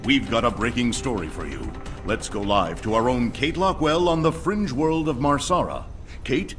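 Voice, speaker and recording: Actor as a pompous, swooping TV news anchor. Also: male voice, medium pitch, expressive, moderate pace, over music, natural voice. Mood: slick, sensational. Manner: sensational, slick, dismissive. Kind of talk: theatrical TV newscast delivery.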